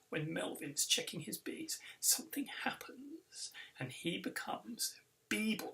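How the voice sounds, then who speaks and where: A man with a British accent reads a children's story expressively, as its author. His voice is bright and forceful with a gravelly creak, recorded slightly away from the microphone. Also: fairly high pitch, far from the mic, rough recording. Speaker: author reading own book.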